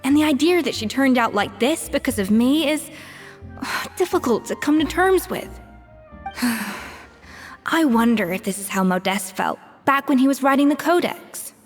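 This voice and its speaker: A thoughtful veteran chef. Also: female voice, high voice, animated, long reverb tail. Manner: reflective, measured storytelling with sighs. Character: seasoned woman head chef, thoughtful and precise. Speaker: chef character. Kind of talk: video game voice acting. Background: soft game music.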